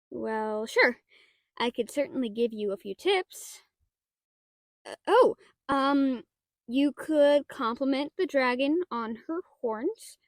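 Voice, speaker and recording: High-voiced, flustered young half-dragon librarian played by a woman. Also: no room sound, exaggerated voice. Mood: bashful, flustered. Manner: flustered, halting, bookish, swinging into theatrical indignation. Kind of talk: audio roleplay acting, addressed to the listener.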